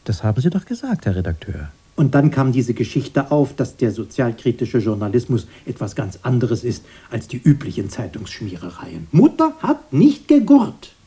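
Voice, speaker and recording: Radio drama: bitter, domineering brother, husky and swooping, insistent and mock-earnest. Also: male voice, medium pitch, wildly swooping pitch, normal speed, hardly any pauses, warm tone, full character voice. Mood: indignant. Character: bossy, bitter brother recalling a poor childhood.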